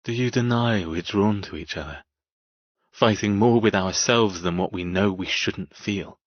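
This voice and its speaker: An actor playing an intense, possessive man in an audiobook drama, speaking softly and deliberately in a murmur. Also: lowish voice, no echo, lo-fi sound. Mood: insistent, intimate. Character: possessive, intense man tending his wounded captive woman. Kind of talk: audiobook character dialogue.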